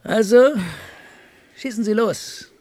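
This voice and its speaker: An actor playing a brusque, imperious industrialist boss in a German radio play, speaking in domineering, clipped commands. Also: male voice, high-pitched, slow pace, a little mellow. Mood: commanding, irritated. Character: imperious male industrialist boss, brusque, demanding, devoted husband.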